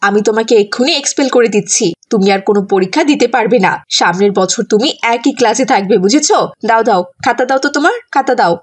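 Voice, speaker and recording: A woman's voice: fairly high pitch, fast, hardly any pauses, slightly rough. A woman voicing a strict female schoolteacher in a children's cartoon, instructing like someone used to being obeyed. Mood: angry scolding. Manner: scolding, instructive, ends orders with check questions.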